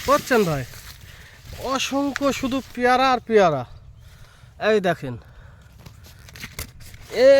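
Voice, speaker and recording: A man's voice: very high-pitched, fairly bright tone. A man presenting an informal vlog, addressing viewers directly and repeating himself. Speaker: vlogger, host. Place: outdoors in a guava orchard. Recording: outdoor recording with faint background noise.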